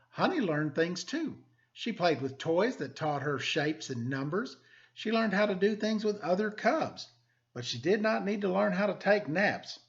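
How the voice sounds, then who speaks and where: A man's voice: sits high, muffled recording. A man hosting story time, reading a picture book aloud to children with playful, self-deprecating, chatty asides.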